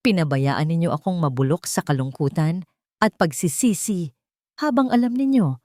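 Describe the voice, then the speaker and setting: A woman voicing a wronged adult confronting a parent, bitter, deliberate and sarcastic, in an audio love story. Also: fairly low pitch, animated, dark tone, no room sound, clean studio sound, close to an everyday voice. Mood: anguished anger. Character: wronged adult child, bitter, coldly accusing their mother.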